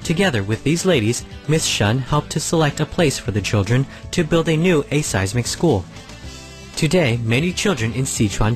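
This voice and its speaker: A man reading scripted documentary narration in a calm, measured way as the program's voice-over. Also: medium pitch. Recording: faint music underneath.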